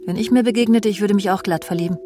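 Eine Schauspielerin mit rauchiger Stimme spielt in einem Krimi-Hörspiel eine stolze, scharfzüngige Fernsehmoderatorin, die trocken und sardonisch ihre Geschichte erzählt. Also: female voice, mid-range voice, very fast, mellow, faint music behind, lightly acted. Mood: smug. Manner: dry, sardonic, self-assured. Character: proud, sharp-tongued woman TV presenter narrating her story. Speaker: actress, lead and narrator in radio play. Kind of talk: German radio crime drama, intimate first-person narration and dialogue.

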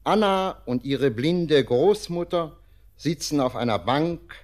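A man narrating a scripted radio play in a measured, descriptive manner. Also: a little high, animated, a little mellow.

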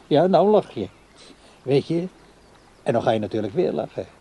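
A man acting in a radio play as an insistent, needy old man who talks haltingly to a much younger woman. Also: mid-range voice, sing-song, dark tone, breathy edge. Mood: pleading, resigned. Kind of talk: naturalistic radio play dialogue.

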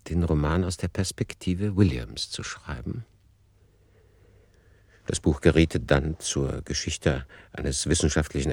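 A sober male chronicler in a radio play. The voice sits very low and is recorded close and dry. He delivers literary narration like a factual report, keeping himself detached. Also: darkish tone, dry recording.

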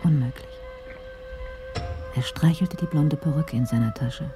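Low, dark-voiced woman narrator. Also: faint music behind. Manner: measured, literary storytelling. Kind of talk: scripted narration of a radio crime drama.

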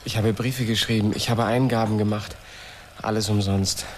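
A man's voice: on the low side, steady tone, normal speed, natural voice. A man acting in a German radio play as a tormented, obsessive engineer, agitated, pleading and insistent. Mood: anxious, obsessed. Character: tormented, obsessive male engineer hounded by a whirring. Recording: clearly noisy old radio production.

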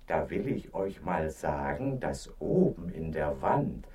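A man playing a solemn old owl in a children's radio play, speaking in a ponderous, deliberate way. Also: very deep voice, very animated, warm tone, far from the mic, full character voice. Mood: solemn, helpful. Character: slow, solemn old owl, eager to be useful.

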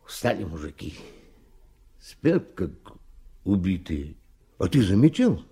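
An older man playing a kindly, fatherly old Siberian timber rafter with folksy speech and a husky voice in a Soviet radio drama. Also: sits low, very animated, slow, mellow, full character voice. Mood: tired, gentle.

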